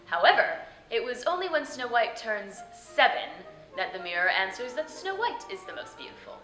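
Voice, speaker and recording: A woman's voice: a little high, very animated, moderate pace, pushed and bright, faint music behind, low-quality recording. A woman hosting and narrating fairy tales, addressing viewers in a playful, theatrical way. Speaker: host of a fairy tale web show. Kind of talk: scripted storytelling narration to viewers.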